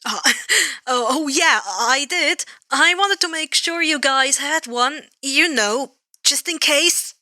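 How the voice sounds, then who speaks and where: A woman's voice: high-pitched, slow pace, sharp tone, no echo, exaggerated voice. A woman storyteller acts a young anime hero, a kind and anxious boyish man. He speaks earnestly with nervous hesitation, close and dry.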